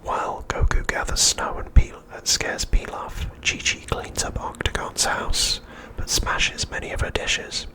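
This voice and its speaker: A man's voice: measured pace, few pauses, sharp tone. Whispering man, ASMR narration. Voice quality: unvoiced, breathy whisper. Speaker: ASMR host.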